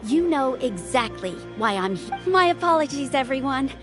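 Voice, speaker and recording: A fretful, bossy young sister in a video game. Her high voice swings widely as she scolds and insists. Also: expressive, normal speed, over music. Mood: accusing. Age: young adult.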